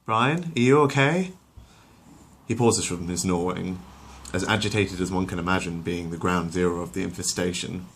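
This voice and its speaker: A man's voice: low voice, little pitch movement, a little fast, gravelly, hardly any pauses, a little hiss or hum. A man narrating a story as its host, dramatic in the telling and casual in his asides.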